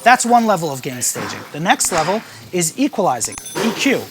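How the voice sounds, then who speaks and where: A man teaching live sound setup in a music venue, explaining each gain stage calmly and speaking into a handheld vocal mic. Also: a little high, brisk, noisy background. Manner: calm, explanatory, stepping through each setting. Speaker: sound engineer and band leader teaching live sound. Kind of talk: hands-on tutorial with sung demonstrations. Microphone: handheld vocal microphone.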